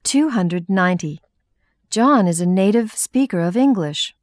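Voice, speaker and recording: A woman's voice: medium pitch, animated, a little mellow, no room sound, clean studio sound. American woman reading lesson drills. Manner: clear, measured reading. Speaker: English lesson reader. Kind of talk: numbered sentence reading for repetition practice.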